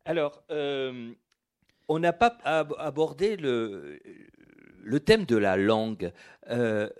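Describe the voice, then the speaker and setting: A man's voice: medium pitch, animated, takes it slowly. A man interviewing an author, asking questions with many restarts and frequent chuckles. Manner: stumbling restarts, warm chuckles.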